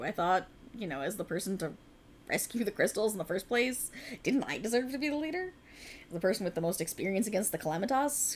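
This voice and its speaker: A woman voicing a young alien woman in an ASMR roleplay, an earnest, teasing friend hiding as a human, who swears bluntly and talks softly and close. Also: medium pitch, slight background noise, natural voice. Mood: wistful. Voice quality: soft, intimate and lively, with blunt teasing and swearing.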